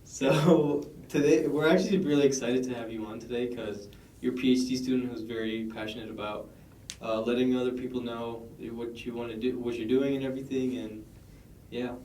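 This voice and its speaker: A man's voice: medium pitch, fairly even intonation, fairly quick, dark tone, slight background noise. A man co-hosting a podcast, asking loose, friendly questions in a casual chat. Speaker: podcast co-host. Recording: echoey room, far from mic.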